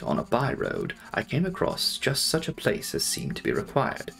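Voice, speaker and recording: A man's voice: lowish voice, vocal fry, a bit bright. A young man giving a dramatic narration of a classic novel as an audiobook reader.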